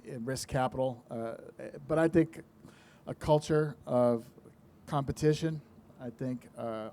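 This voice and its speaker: A middle-aged man speaking on a conference panel, measured and dryly humorous, with frequent fillers. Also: mid-range voice, mellow. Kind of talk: panel discussion.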